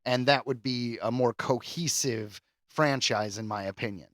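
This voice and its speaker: Guy podcast cohost rambling casually, medium pitch, really dry close sound. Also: moderate pace, fairly bright tone, dry recording. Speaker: podcast co-host. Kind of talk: casual chat about horror films.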